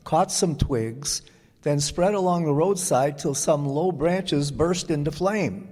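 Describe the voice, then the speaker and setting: A man reading a script as an old-time radio announcer, with measured, deliberate phrasing. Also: fairly high pitch, darkish tone. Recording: echoey hall with audience present.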